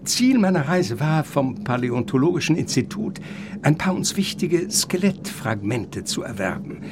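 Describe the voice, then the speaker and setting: A man's voice: medium pitch, big pitch swings, background noise, close to an everyday voice. An actor playing an eccentric, courteous older professor in a German radio play, lecturing with rapture and savoring his words. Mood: genial, chatty. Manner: theatrical, savoring words, halting then surging. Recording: music behind the voice.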